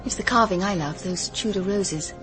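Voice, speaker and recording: An actress playing a young English private detective in a radio drama, speaking politely and earnestly. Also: female voice, medium pitch, normal speed, over music, close to an everyday voice. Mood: quiet, reflective. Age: young adult. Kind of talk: British radio drama acting.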